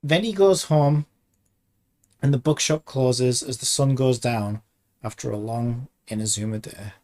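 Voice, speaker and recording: A man's voice: mid-range voice, measured pace, dark tone. A young man giving casual comic commentary as a streamer, reading out a script and riffing on it.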